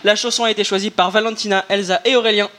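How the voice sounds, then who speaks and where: A man's voice: high voice, steady tone, fast talker, bright, forceful tone, slight background noise. A man presenting a small radio show, announcing songs in an upbeat way. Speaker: student radio host.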